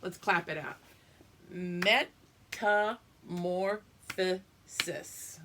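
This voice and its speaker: A woman's voice: on the low side, noisy background. A woman teacher reading a picture book aloud to young pupils, drawing out the story and explaining it as she goes. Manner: expressive, drawn-out reading with teacherly explanations and check-ins.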